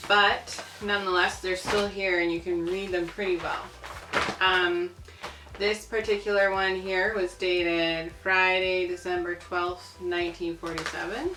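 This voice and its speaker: A woman hosting a casual video, chatting and marvelling as she reads aloud from old printed material. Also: on the low side. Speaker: video host. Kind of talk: casual chat while reading aloud from old newspapers. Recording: roomy echo, faint music behind.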